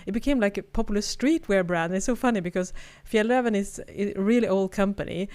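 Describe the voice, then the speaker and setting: A woman's voice: medium pitch, brisk, darkish tone. A woman with a Swedish accent, co-hosting a podcast in casual, chatty conversation, laughing often and restarting her sentences. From Sweden. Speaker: podcast co-host. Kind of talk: casual chat.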